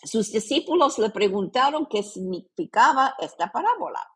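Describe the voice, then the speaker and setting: A woman with a Puerto Rican accent, hosting a religious podcast with scripted devotional narration and scripture readings in a deliberate, earnest manner. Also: a little high, sing-song, breathy edge. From Puerto Rico.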